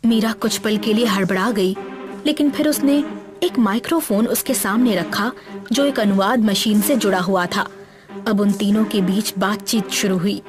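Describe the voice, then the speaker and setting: A woman's voice: mid-range voice, normal speed. A woman reading a scripted, dramatic story as its narrator. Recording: music bed behind the voice.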